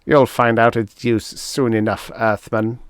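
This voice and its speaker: A man's voice: medium pitch, flat delivery, measured pace, smooth, dry recording, clean studio sound, voice pushed up, close to an everyday voice. A man voicing an evil, irritable toadlike alien commander who barks orders and sneers in a pulp science fiction audiobook. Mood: angry, menacing.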